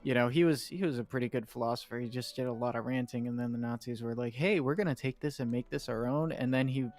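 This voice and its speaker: Man chatting casually, mid-pitched, music behind. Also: fast, a little mellow. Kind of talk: casual chat, joking. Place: online group voice call.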